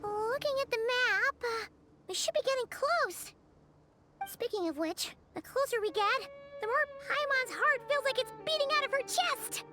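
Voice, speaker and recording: A woman voicing a tiny, excitable fairy companion in a video game, chatty and childlike, with a squeaky voice. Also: moderate pace, heavy background noise. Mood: nervous. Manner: childlike, refers to herself by name. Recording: loud music behind the voice.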